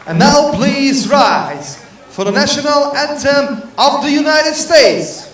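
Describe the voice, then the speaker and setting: An announcer making a formal public-address announcement at a ceremony, heard over distant loudspeakers. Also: sits high, lively intonation, slow pace. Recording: distant echoing loudspeaker with crowd noise.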